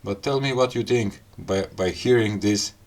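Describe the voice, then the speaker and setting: A man with a non-native English accent giving a casual hands-on gadget demo in short, halting phrases. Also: fairly low pitch. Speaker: gadget review host. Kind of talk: casual hands-on unboxing and product demo talk.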